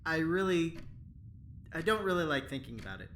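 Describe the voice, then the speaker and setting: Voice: mid-range voice, animated, normal speed, fairly bright tone, natural voice. A woman playing a chatty but evasive, eager-to-please prisoner, chirpy and hesitant, in a sci-fi audio drama. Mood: awkward, evasive. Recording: faint background noise.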